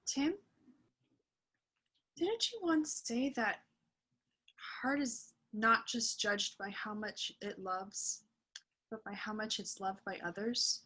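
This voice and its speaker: A woman playing a sincere, gently encouraging friend, earnest and measured, in a theatrical drama. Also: mid-range voice, husky, heavily acted. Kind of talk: theatrical musical drama acting.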